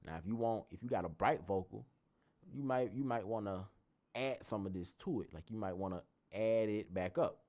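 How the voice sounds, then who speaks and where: A young man with a very low, bassy voice, hosting a relaxed tutorial. His delivery is loose and rambling, looping back and restarting phrases as he works things out aloud. He sounds conversational rather than scripted. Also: normal speed. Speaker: tutorial host. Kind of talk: casual screen-recorded tutorial.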